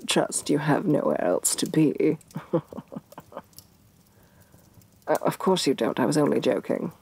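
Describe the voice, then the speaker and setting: Woman playing a breathy, haughty evil queen. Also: deep voice, heavily acted. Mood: languid, teasing. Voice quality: soft, breathy. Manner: imperious, sardonic, sighing condescension. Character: domineering evil sorceress queen, haughty, vain, grudgingly softening. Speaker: voice actress. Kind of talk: soft-spoken ASMR fantasy roleplay, acted monologue.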